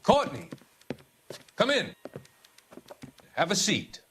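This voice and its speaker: An actor playing a calm, formal psychiatrist with quiet authority, speaking deliberately in a dramatic video game cutscene. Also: male voice, high voice, a little hiss or hum, full character voice. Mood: soothing, coaxing. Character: smooth, measured psychiatrist, mature man of quiet authority. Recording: music behind the voice.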